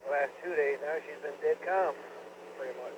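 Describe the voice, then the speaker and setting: A man's voice: a little high, fairly quick. A man with a Newfoundland accent chatting on a ham radio in short, laid-back replies. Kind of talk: casual radio chat. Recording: dull radio transmission with faint noise.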